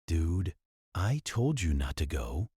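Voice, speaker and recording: A man's voice: low-pitched, big pitch swings, takes it slowly, dark tone, breathy edge, dry recording, clean studio sound, heavily acted. A man acting a distraught, guilt-ridden young friend in an amateur audio drama. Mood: exasperated. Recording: noisy home recording with clicks.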